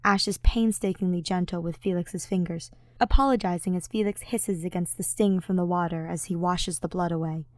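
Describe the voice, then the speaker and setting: A woman's voice: lowish voice, fairly even intonation, normal speed, few pauses, no room sound. Woman narrating fiction in a dry space. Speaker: audiobook narrator.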